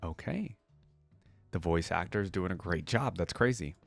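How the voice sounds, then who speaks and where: A man's voice: deep voice, expressive, moderate pace, gravelly, faint music behind. A man giving casual, informal reaction commentary on a video game, talking directly to viewers.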